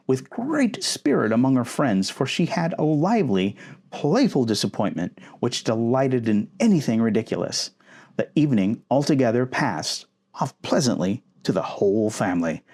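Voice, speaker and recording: A man reading a novel aloud in an expressive ASMR boyfriend roleplay style. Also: mid-range voice, normal speed, slightly rough.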